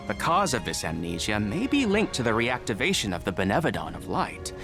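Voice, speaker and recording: An actor playing a theatrical, smug scholar in a video game, with a pompous, flowery delivery. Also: male voice, mid-range voice. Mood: pondering. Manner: pompous, florid. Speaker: scholar character.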